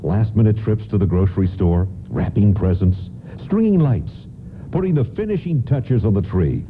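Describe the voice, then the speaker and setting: A man's voice: fairly low pitch, big pitch swings, moderate pace, a little hiss or hum. A man performing as a storytelling narrator and announcer in a scripted radio drama. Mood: measured, storytelling. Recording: narrow phone-like radio sound.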